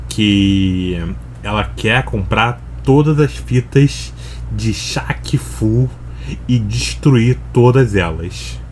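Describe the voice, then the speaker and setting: A man's voice: fairly low pitch, a little mellow, slight background noise. A Brazilian man giving casual, slangy, conversational commentary as he reacts to a video.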